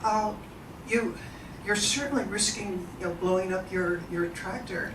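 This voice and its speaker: A woman's voice: mid-range voice, unhurried. Chatty woman moderator in a roomy hall. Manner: chatty, enthusiastic, engages the audience. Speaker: event moderator. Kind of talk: informal presentation to an audience. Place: event room. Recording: roomy, distant mic, background noise.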